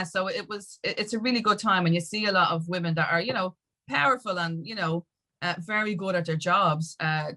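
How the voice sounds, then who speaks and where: An Irish woman with a slightly low-pitched voice speaks as a guest in a casual interview. Her talk is chatty and emphatic, sprinkled with conversational tags. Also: a bit bright. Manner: chatty, emphatic, peppered with "like" and "you know". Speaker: interview guest, music industry activist.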